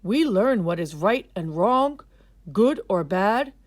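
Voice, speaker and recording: A kindly, wise father from a children's story, voiced by a woman, explaining things to his son. The delivery is instructive and emphatic, with plenty of movement in the pitch and a dry, close sound. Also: animated, slow pace, darkish tone, no echo. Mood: patient teaching.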